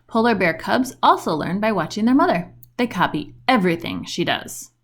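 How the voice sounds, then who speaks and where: A woman reading a children's book aloud in an expressive storytelling style with questioning intonation. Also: medium pitch, normal speed, husky.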